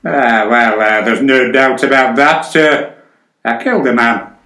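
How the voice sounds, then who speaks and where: An actor playing a muddled, guilt-ridden elderly man in a radio play, halting and repeating himself. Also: mid-range voice, steady tone, pushed and bright. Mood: muddled remorse. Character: confused, guilt-ridden elderly male sports commentator under medical care. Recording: roomy indoor echo.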